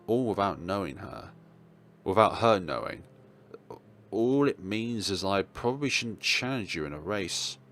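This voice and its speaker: A man's voice: fairly low pitch, sing-song, unhurried, a bit bright, soft background music. A man hosting a let's play, reading dramatic narration aloud with casual, jokey asides.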